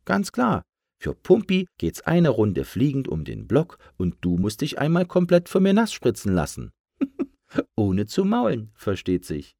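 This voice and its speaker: A man voicing a small, eager, excitable sparrow in a children's story. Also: medium pitch, a little slow, creaky, dry recording, studio quality, voice pushed up, exaggerated voice. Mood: cheeky. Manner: excited, urgent exclamations.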